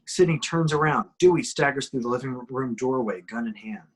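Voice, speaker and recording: A man's voice: mid-range voice, normal speed, breathy edge. A man giving emphatic, suspenseful scripted narration as he reads action descriptions at an amateur table read.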